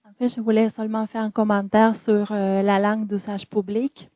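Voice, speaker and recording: A woman's voice: medium pitch, flat delivery, normal speed, warm tone, no echo. A woman with a Spanish accent, a native Spanish speaker, making an earnest, careful comment from the floor. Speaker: audience member.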